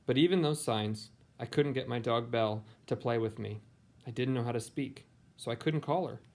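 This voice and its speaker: A man with an American accent, a teacher who talks informally and reads picture books aloud. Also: medium pitch. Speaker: teacher and read-aloud host. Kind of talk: picture book read-aloud, informal introduction.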